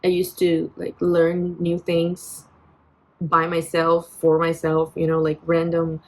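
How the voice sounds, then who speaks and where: A young Brazilian woman in her twenties talks into her phone in English, her second language, with a Portuguese-tinged accent. Her voice sits a bit low and her pace is very slow. She sounds reflective and hesitant, full of fillers and restarts. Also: monotone. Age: 20s. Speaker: vlogger. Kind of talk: personal venting vlog.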